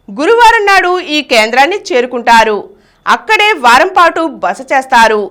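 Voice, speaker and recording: A woman reads a scripted news bulletin in a distinctly high voice. Her intonation is lively and moves widely as she delivers headlines and reports. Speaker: news reader.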